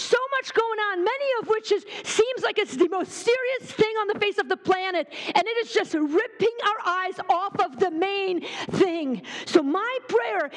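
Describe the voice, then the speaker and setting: A woman in her forties from Lebanon, giving a fast, joking and fervent sermon at a women's retreat. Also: very high-pitched, in a large hall. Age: 40s. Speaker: retreat speaker.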